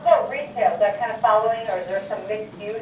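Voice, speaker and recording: A woman interviewing a realtor by phone, chatty, with quick questions and short affirmations. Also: mid-range voice, darkish tone, echoey room, recorded from a distance, slight background noise.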